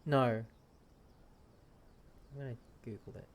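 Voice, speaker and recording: A man's voice: medium pitch, steady tone, slow pace. A man giving casual playthrough commentary as a streamer, chatty and musing aloud. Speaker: game streamer.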